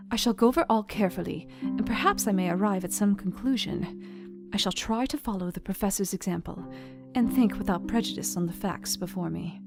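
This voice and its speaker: An actress playing an earnest young Victorian wife, reading her journal with precise, deliberate diction in a Gothic audio drama. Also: medium pitch, gravelly, lightly acted. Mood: determined. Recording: music behind the voice. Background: instrumental music.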